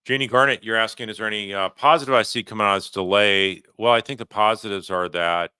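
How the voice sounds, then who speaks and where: A man hosting a livestream, giving unscripted legal commentary and answering viewer questions in a halting, conversational way, often rephrasing himself. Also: fairly low pitch, steady tone, bright, forceful tone, clean tone, no room sound.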